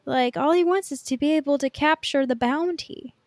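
A woman's voice: high voice, normal speed, dry recording. A woman chatting casually as a podcast co-host, in informal speech peppered with "like". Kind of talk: casual fan podcast chat.

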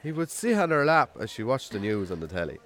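Jamesy, animated Irish country farmer. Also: male voice, medium pitch, fast. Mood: easygoing, pleased. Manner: wry, colloquial storytelling. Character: Jamesy, rural bachelor farmer, self-deprecating storyteller on a date. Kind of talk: naturalistic radio drama acting.

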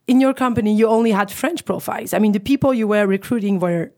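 A woman's voice: medium pitch, quick pace, darkish tone. A woman hosting a casual interview show, chatty and teasing, often saying "like" and "I mean". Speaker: talk show host.

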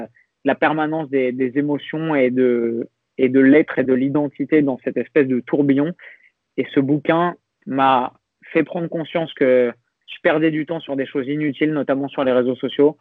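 Guy talking slow and thoughtful, lots of restarts, dry narrow sound. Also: on the high side, no room sound. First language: French. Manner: reflective, hesitant with many restarts and fillers. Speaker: podcast guest. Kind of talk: casual conversational interview.

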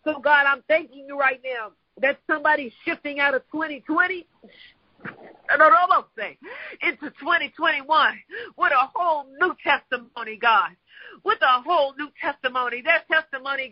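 A man with an extremely high voice leads an impassioned, improvised prayer. He declaims in repeated invocations and refrains, like a prayer leader carried along by fervor. The recording is dry and somewhat dull and lo-fi. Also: dry recording.

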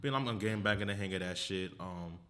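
A man's voice: deep voice, fast talker, a bit bright. An American man co-hosting a podcast in casual, slangy, profane chat. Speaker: podcast co-host.

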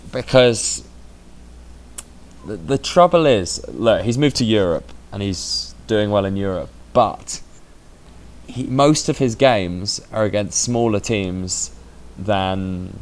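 A man co-hosting a football podcast, talking casually with a dry sense of humour and thinking aloud. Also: on the low side. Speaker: podcast co-host.

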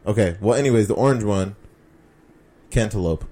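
A young man from a Persian family talks on a podcast in a low, dark, mellow voice. He tells loose, rambling stories, swears casually and keeps correcting himself mid-sentence. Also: normal speed. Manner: rambling storyteller, swears casually, self-interrupting. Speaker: podcast co-host. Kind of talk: casual chat.

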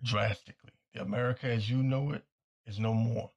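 A man hosting a talk show with a hoarse, nearly gone voice, delivering a blunt and insistent commentary monologue that repeats its points. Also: mid-range voice, fairly even intonation, a little fast, lo-fi sound. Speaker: podcast host.

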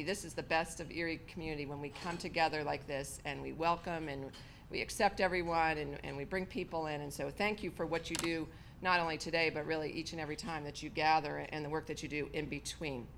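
A woman's voice: low voice, fairly bright tone, slight background noise. A middle-aged woman with a big, strong voice giving a gracious speech to a luncheon audience in a hotel banquet room. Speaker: county official giving a keynote.

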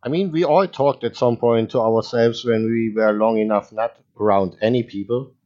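A man's voice: mid-range voice, normal speed, a little mellow. Man hosting a casual, lo-fi podcast. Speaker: podcast host. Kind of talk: casual interview chat.

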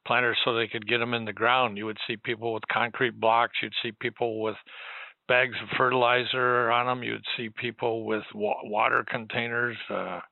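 A man in his eighties with a strongly creaky, gravelly voice at a medium pitch. He speaks plainly and conversationally as an interviewer who also reminisces. The sound is dry and close. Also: level tone, moderate pace, dry recording, phone-quality sound. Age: 80s.

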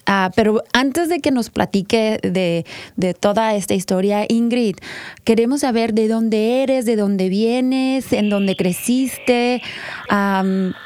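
Smooth-voiced, halting woman podcast host. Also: fairly high pitch, a little mellow, no echo. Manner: halting, filler-heavy delivery. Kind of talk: casual introduction and interviewing.

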